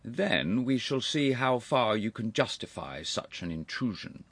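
Man acting a haughty, irascible older gentleman, animated pitch. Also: unhurried, slightly rough, no room sound, full character voice. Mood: acid, commanding. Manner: stern, pompous, cutting formal phrasing. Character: haughty, irascible older aristocratic uncle, sharp and severe. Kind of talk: audiobook character voice.

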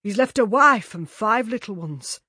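A woman's voice: a little high, animated, measured pace, sharp tone, slightly rough, no room sound, studio quality, pitched above the performer's own voice, full character voice. A woman voicing a gossipy working-class delivery man who tells bad news with relish. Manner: relishing, chatty storyteller.

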